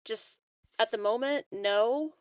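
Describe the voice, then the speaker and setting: A woman's voice: on the high side, slow pace, clean tone, no room sound. Casual woman gamer, dry close sound. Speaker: tabletop game player. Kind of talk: casual game-table chat.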